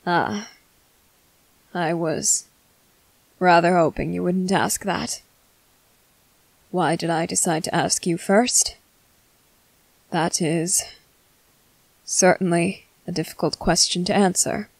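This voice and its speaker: A woman acts out a male vampire commander for an ASMR roleplay, low and very slow, with formal, halting courtesy. The voice has a gravelly fry and sits close and dry, as hunger presses against careful self-restraint. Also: steady tone, dry recording, heavily acted. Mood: grave, polite. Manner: formal, halting, measured, apologetic. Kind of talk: scripted ASMR roleplay monologue.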